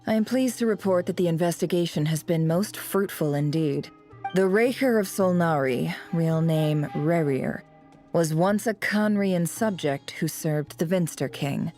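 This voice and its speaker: A poised woman investigator from a fantasy game voiced by an actress speaks low and with formal self-assurance. Her delivery is measured, over soft music. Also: natural voice. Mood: composed, self-assured.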